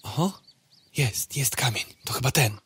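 A man's voice: mid-range voice, animated, unhurried, a bit bright, breathy edge, heavily acted. A man playing a whiny, impulsive young female fly in a radio play, chatty and stammering. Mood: relieved, eager.

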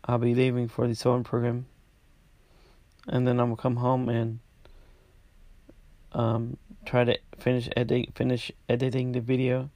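A man hosting his own podcast, talking casually about his week, congested and halting with many restarts. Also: mid-range voice, monotone, warm tone, dry recording. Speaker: podcast host. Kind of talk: casual personal update.

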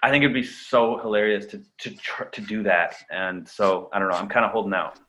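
Bright-voiced man, low and chatty. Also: a little fast, low-quality recording. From Canada. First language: German. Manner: relaxed, rambling with many fillers and restarts. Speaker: actor, interview guest. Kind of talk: casual interview.

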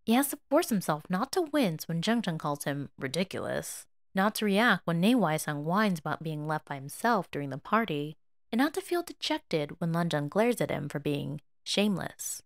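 A woman reads a story aloud as its narrator. Her voice sits a little low yet moves with lively intonation, in a close, dry recording. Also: normal speed, dry recording. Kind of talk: expressive scripted story narration.

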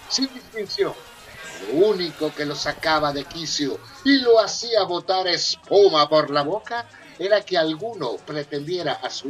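A male narrator of a comic radio soap speaks over music. His voice is a bit high for a man, and he tells the story in a theatrical, mock-serious way. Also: a bit bright. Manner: mock-dramatic.

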